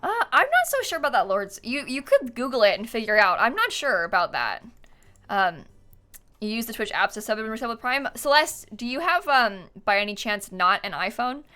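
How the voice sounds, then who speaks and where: A woman's voice: a little high, big pitch swings, normal speed, sharp tone. A young woman live game streamer chatting casually and informally with her viewers, with a giggly manner. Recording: music playing behind. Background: music, typing.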